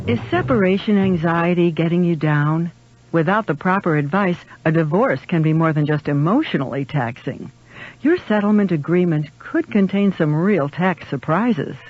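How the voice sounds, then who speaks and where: A slightly muffled radio recording of a male announcer with a high voice. He reads a scripted public advice spot. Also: normal speed.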